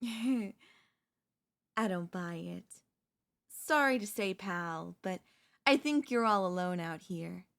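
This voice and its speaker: A woman's voice: lowish voice, lively intonation, slow pace, creaky, long pauses, a bit bright, heavily acted. A woman voicing a chatty, falsely friendly female zombie in a roleplay audio, coaxing the listener in a wheedling, conversational way with hesitant slips. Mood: knowing, persuasive.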